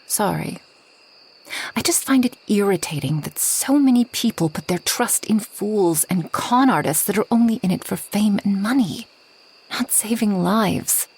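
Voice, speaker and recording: A woman playing a reclusive, ageless forest witch in a soft ASMR roleplay, gentle and wry, often slipping toward a whisper. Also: mid-range voice, lively intonation, unhurried, slightly rough, full character voice, low-quality recording. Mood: proud, irritated. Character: reclusive, centuries-old kind but proud forest witch.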